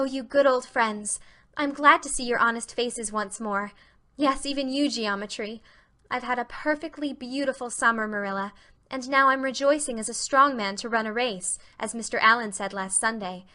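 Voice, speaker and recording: A woman's voice: fairly high pitch, bright, forceful tone. A woman voicing an earnest, talkative, imaginative teenage girl with effusive, dramatic delivery in a reading of a classic novel.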